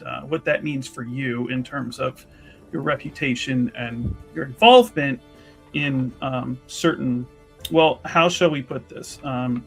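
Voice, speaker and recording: A man's voice: mid-range voice, vocal fry, breathy edge. A man playing a polite, diplomatic female investigator in a tabletop roleplaying game, speaking in careful, formal, hedging phrases.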